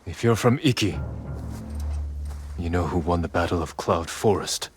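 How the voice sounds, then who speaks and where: An actor playing a stern, determined samurai in a video game, speaking tersely and resolutely over background ambience. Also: male voice, low voice, normal speed, breathy edge, faint music behind. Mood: demanding. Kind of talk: dramatic video game cutscene acting.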